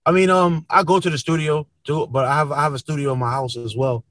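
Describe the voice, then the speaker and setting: A man's voice: a little high, steady tone, fast, fairly bright tone, dry recording. A man talking casually as an interview guest, using lots of slang and tags like "man" and "you know what I'm saying".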